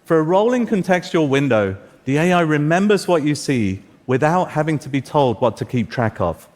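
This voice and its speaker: A man's voice: fairly high pitch, big pitch swings, moderate pace, darkish tone. A man whose first language is Persian, presenting a keynote demo in an upbeat, scripted way with light jokes. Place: large conference hall stage. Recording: long reverb of a large hall.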